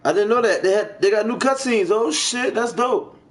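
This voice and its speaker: A man's voice: sits high, normal speed. A man giving casual gameplay reactions in slangy, profane, informal trash talk. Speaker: gamer narrating his gameplay.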